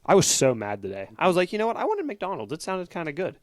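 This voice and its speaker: A young man co-hosting a casual comedy podcast, telling animated stories and laughing often. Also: high voice, fast talker, no room sound, clean studio sound. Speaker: podcast co-host.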